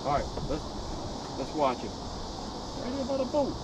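A man with a South Louisiana accent coaching children as they fish from a small boat outdoors, often calling out quick instructions. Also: a little high, very animated. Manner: urgent coaching shouts. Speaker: dad coaching kids at fishing. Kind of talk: casual outdoor chat. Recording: loud outdoor noise, vehicle hum.

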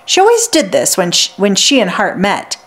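Woman reading a storybook, lively pitch. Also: normal speed. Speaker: read-aloud narrator for children. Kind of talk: reading a children's novel aloud.

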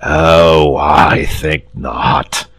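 A man reading a story, voicing a gentle, cowardly male dragon with a slow, polite drawl. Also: extremely low pitch, animated, no room sound, exaggerated voice. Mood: lazy.

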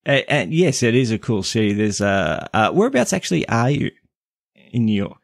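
Man, podcast host, gravelly, casual rambling chat, dry recording. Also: fairly low pitch, sing-song, normal speed, dry recording. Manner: rambling, hesitant with many restarts. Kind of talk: casual interview.

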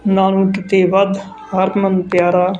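A narrator reading a folk tale aloud in a halting way, phrase by phrase. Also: medium pitch, monotone, a little mellow, highs cut off. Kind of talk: slow read-aloud storytelling narration. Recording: some background noise.

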